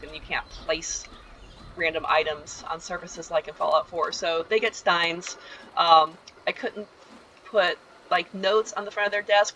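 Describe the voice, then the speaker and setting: A woman narrates a game walkthrough in a loose, chatty way, wandering off on asides and filling pauses with ums. Her voice is bright and forward, with a faint hiss of noise under it. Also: fairly low pitch, rough recording. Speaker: video game tour guide. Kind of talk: casual gameplay voice-over tour.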